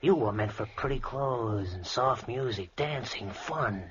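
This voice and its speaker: A man plays a brash hired hand with an eye for money. He talks in pushy, folksy slang at an ordinary male pitch. The old radio drama sound is muffled and slightly noisy. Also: animated, a little slow, natural voice. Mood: pushy, scheming. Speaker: radio actor.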